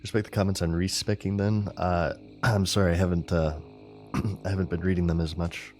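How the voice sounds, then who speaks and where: A man streaming a game and chatting casually with viewers, rambling and thinking aloud, his voice scratchy and clearing his throat. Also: low-pitched, little pitch movement, moderate pace, a little mellow. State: scratchy, failing voice.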